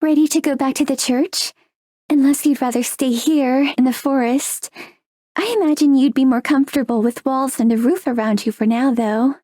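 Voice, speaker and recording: Gentle young forest ghost: high, mellow voice, soft and formal, visual novel game. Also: female voice, fairly even intonation, no room sound, studio quality. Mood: calm, kindly. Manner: soft-spoken, formal, measured.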